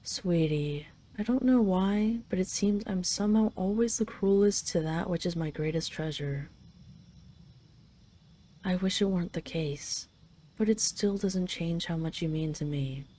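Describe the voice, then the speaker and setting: Girl acting as haughty dragon queen for roleplay audio, lowish soft mellow voice, bossy and teasing but fond. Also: unhurried, a little hiss or hum, lightly acted. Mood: mocking, affectionate. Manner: imperious, teasing, intimate, slightly flustered when admitting affection. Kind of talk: roleplay audio drama addressed to the listener.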